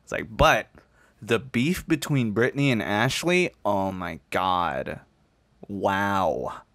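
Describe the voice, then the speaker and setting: A man's voice: fairly low pitch, takes it slowly, a bit bright, no echo. A young man of about twenty chatting casually, rambling and swearing for emphasis as he makes his points. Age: 19, turning twenty. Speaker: podcast host. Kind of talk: casual chat.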